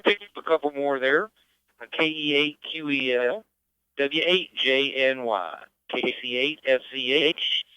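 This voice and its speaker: Bright, clear middle-aged American man hosting. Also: mid-range voice, dry recording. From West Virginia, United States. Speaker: ham radio net control operator. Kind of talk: unscripted radio net hosting, casual chat. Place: amateur radio transmission. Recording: slightly dull, dry radio-net audio.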